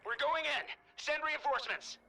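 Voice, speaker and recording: A man's voice: very high-pitched, normal speed, gravelly, bright, forceful tone, exaggerated voice. A man playing an aggressive, urgent soldier calling in an assault in a video game. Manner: tense, urgent. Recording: distant, slightly noisy battlefield transmission.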